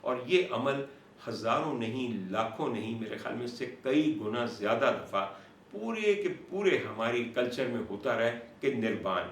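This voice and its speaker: A Pakistani-accented man presenting an explanatory monologue to viewers as host, in a deliberate, dramatic manner with repetition for emphasis. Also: medium pitch, expressive. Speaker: host and doctor presenting a talk show. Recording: roomy, distant mic with faint noise.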